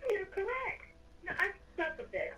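A woman's voice: very high-pitched, huge pitch swings, moderate pace, bright, forceful tone, full character voice. A woman playing a breezy, teasing villain housemate in an improvised Minecraft roleplay. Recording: distant home microphone.

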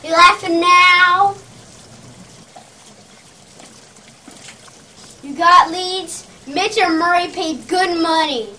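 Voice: very high-pitched, steady tone, takes it slowly, bright, forceful tone, heavily acted. A child playing a ruthless, bullying sales boss, barking taunts emphatically in a theatrical puppet-parody monologue. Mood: scornful, commanding. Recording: distant mic, faint background noise.

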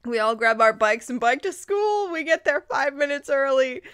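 Female reader-commentator, high-pitched and expressive, casual amused asides, very close dry sound. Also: normal speed, no room sound. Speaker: host reading fan fiction aloud with commentary. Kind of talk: informal reading with reactive asides.

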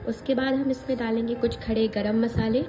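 A woman hosting a cooking channel, calmly explaining a recipe step by step with small hesitations. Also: on the high side, moderate pace. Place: home kitchen at a gas stove. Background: background music.

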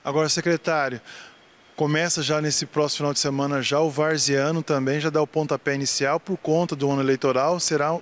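A man hosting a local TV news segment, introducing the story and asking brisk leading questions. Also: on the high side, steady tone. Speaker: TV news host and interviewer. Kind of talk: news presenting and interview questions.

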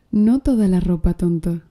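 A slow, flirty tattoo artist with a dark, mellow tone, as a woman plays her for an ASMR roleplay. She speaks softly and breathily, teasing her client with easy confidence. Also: on the low side. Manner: soft, breathy, teasing with little laughs.

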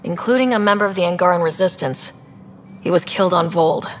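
A woman's voice: fairly low pitch, fairly quick, a little hiss or hum, lightly acted. A woman playing a capable, earnest young space explorer in a video game, speaking plainly and in a businesslike way. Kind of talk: video game dialogue acting.

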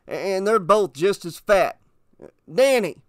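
A man voicing a hot-tempered, blustering small-town father with a gruff growl in an audiobook. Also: sits high, moderate pace, a bit bright, dry recording, clean studio sound, voice pushed up. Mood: irritated, blustering. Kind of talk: audiobook character voice.

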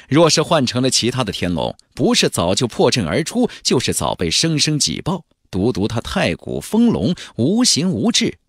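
A man narrating a fantasy audiobook in a theatrical, expressive storytelling manner. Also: medium pitch, husky, dry recording. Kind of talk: dramatic scripted narration of a fantasy novel. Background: background music.

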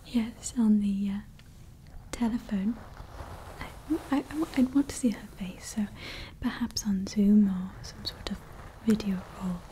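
A woman's voice: mid-range voice, fairly even intonation, unhurried, warm tone, slight background noise, close to an everyday voice, poor audio. A woman voicing a scheming evil female scientist in an ASMR roleplay, whispering throughout and rambling with fillers. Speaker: ASMR creator.

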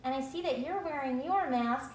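A woman's voice: sits high, moderate pace, natural voice. A woman voicing an eager, cheerful young child puppet in a children's puppet show, exclaiming in a childlike way. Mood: happy, earnest. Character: excited, cheerful young child puppet going back to preschool. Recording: echoey room, faint background noise.

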